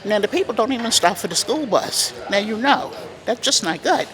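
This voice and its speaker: A man's voice: sits high, lively intonation, moderate pace, a bit bright. A man giving unscripted interview answers as a local resident, on a noisy recording.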